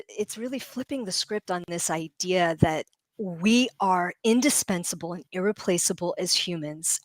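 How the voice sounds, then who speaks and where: A middle-aged woman speaking as a leadership guest on a livestream panel, animated and laughing often as she explains ideas with vivid comparisons. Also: lowish voice, no echo.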